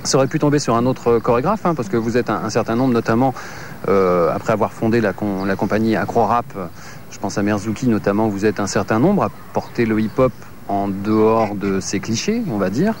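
A man's voice: on the low side, brisk, slight background noise. A male interviewer asking long, hesitant, rambling questions.

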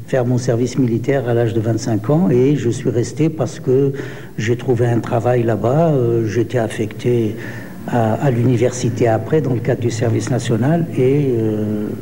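A man in his seventies, born in Algeria, answering interview questions slowly with many hesitations. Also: mid-range voice, mellow, long reverb tail, a little hiss or hum. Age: 70s. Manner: slow, hesitant, many pauses and fillers. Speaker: poet.

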